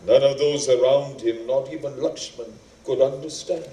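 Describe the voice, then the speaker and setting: A man narrating an epic as a stage actor, dramatic and deliberate, with weighty pauses. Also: mid-range voice, steady tone, warm tone, a little hiss or hum. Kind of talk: theatrical epic storytelling. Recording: roomy, echoing, distant microphone.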